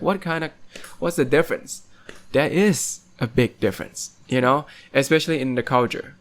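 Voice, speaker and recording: A man's voice: medium pitch, clean tone. A young man from Thailand, hosting a casual podcast chat and rambling along with lots of fillers and restarts. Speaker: podcast host.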